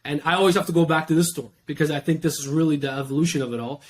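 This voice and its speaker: Fast-talking young man, steady and reflective. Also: on the high side. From Iran. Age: young adult. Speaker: guest. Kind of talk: casual interview talk.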